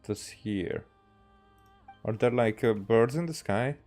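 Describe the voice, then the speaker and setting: A man from Hungary with a Hungarian accent, giving casual gameplay commentary as a streamer, musing aloud in a halting way. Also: medium pitch, a little mellow, soft background music. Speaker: game streamer.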